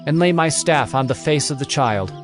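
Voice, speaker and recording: An actor playing a stern, commanding holy prophet in a dramatized audio Bible, speaking solemnly. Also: male voice, mid-range voice, animated, moderate pace, faint music behind, natural voice.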